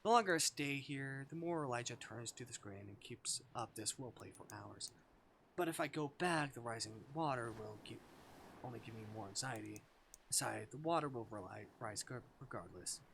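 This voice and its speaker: Bright-voiced man reading a game aloud. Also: mid-range voice, expressive, moderate pace, creaky, slight background noise. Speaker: live streamer reading a visual novel aloud.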